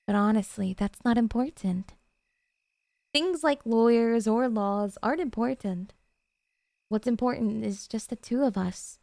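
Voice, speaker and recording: Sweetly unhinged yandere girlfriend played by a woman, highish voice, coy and needy, intimate ASMR roleplay, dry close sound. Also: a little slow, creaky, dark tone, no room sound. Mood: clingy adoring. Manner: cutesy, coy, hesitant asides to a sweetheart.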